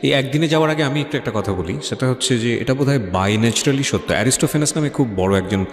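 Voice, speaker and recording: A man's voice: mid-range voice, lively intonation, quick pace. A man with a Bangladeshi accent hosts a radio interview, reflecting and advising, with English phrases mixed into his speech. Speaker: radio host. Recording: echoey reverb, faint music bed. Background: faint music.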